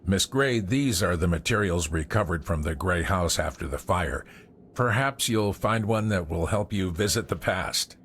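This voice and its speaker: Courteous assistant, low voice, formal phrasing, game cutscene, faint noise. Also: male voice, lively intonation, moderate pace, fairly bright tone. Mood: formal, helpful.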